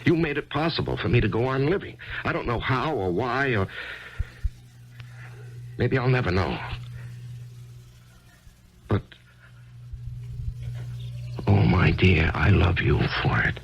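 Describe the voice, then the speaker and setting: A man's voice: on the low side, sing-song, slow pace, creaky, pauses often, a little hiss or hum, heavily acted. An actor playing a grieving middle-aged man, hesitant and stammering, earnest and unsure, in a 1970s radio drama. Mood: earnest pleading. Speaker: lead character and narrator. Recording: dull old radio broadcast with music.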